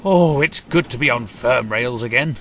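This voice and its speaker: A man's voice: medium pitch, very animated, normal speed, a bit bright, a little hiss or hum. A man voicing a contented, old-fashioned small male tank engine with a mild manner in a children's railway storybook. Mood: relieved, happy. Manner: mild, happy.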